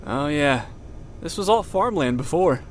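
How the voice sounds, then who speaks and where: A man's voice: a little high, lively intonation, a little slow. A man playing a dutiful young military co-pilot, plain-spoken and deferential, in a naturalistic audio drama. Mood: friendly. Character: young male co-pilot, dutiful, soon to be father. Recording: loud aircraft engine noise.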